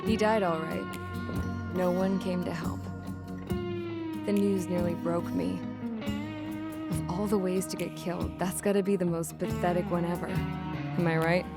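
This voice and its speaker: Jaded young woman convict, sardonic, bitter, lowish pitch, video game narration, loud music behind. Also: animated, measured pace, pauses often. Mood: bitter, wry.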